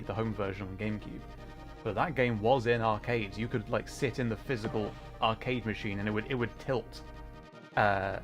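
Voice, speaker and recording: A man livestreaming a video game, chatting casually with viewers and explaining the play in an excitable way. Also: fairly low pitch. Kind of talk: casual live gaming commentary, chatting with viewers. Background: video game music.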